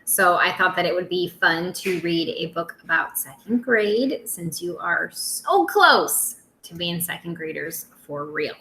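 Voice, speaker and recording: A woman teacher reading a picture book aloud to young children in an expressive, simple way. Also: on the low side, measured pace, hardly any pauses, roomy sound, slight background noise.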